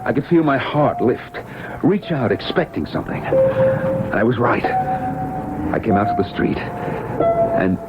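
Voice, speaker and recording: An actor playing a weary, defeated garment pattern maker telling his story haltingly in a vintage radio drama. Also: on the high side, wildly swooping pitch, heavily acted. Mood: astonished. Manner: halting, world-weary, resigned delivery. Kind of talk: dramatic radio acting, first-person narration and dialogue. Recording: muffled, noisy vintage radio drama recording.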